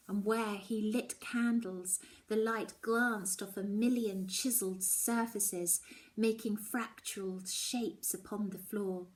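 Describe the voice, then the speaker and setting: A woman reading a children's novel aloud, expressive and dramatic, often dropping toward a hushed tone. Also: mid-range voice, poor audio. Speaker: story reader.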